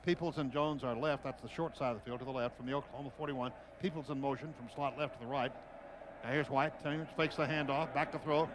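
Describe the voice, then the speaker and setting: An American man doing live radio football play-by-play in clipped phrases, calling the action as it happens. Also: fairly high pitch. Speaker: play-by-play announcer. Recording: radio broadcast over clear stadium noise. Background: stadium noise.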